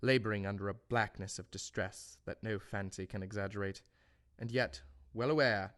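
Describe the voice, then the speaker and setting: Audio drama role, male actor: anguished gentleman doctor, lowish voice, measured formal appeal, grave and pleading. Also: fairly even intonation, lightly acted. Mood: grave, anxious. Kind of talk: audio drama letter monologue.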